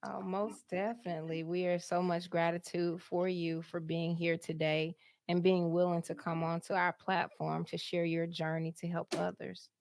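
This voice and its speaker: A woman's voice: on the low side, level tone, normal speed, creaky, darkish tone. A woman hosting an interview, friendly and affirming toward her guest. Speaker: podcast host.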